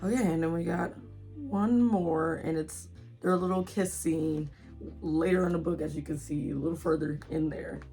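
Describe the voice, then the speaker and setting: A woman in her twenties chatting casually and excitedly, with giggles, as a book vlogger. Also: deep voice, moderate pace, faint music behind. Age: 20s. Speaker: indie author and book vlogger. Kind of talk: casual chatty video blog, book promotion.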